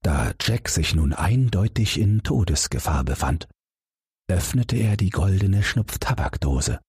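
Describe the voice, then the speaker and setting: A man reading a bedtime fairy tale aloud as an audiobook narrator, calm and expressive. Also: low-pitched, unhurried, breathy edge, no echo, clean studio sound.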